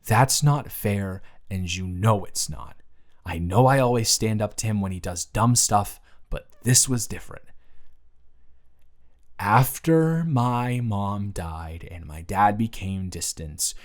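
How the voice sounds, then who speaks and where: A man's voice: fairly low pitch, animated, slow. A man playing an earnest, easily flustered young man in love, in a conversational, emotive romantic audio roleplay addressed to the listener. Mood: earnest, vulnerable. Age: young adult. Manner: conversational, emotive, swinging from hushed to exasperated.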